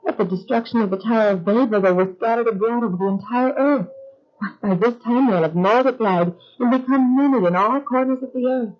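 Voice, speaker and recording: An actress playing a practical, doubtful wife in a biblical audio drama, questioning and matter-of-fact. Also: female voice, on the high side, a little fast, lightly acted. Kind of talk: biblical radio drama acting. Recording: dull lo-fi recording.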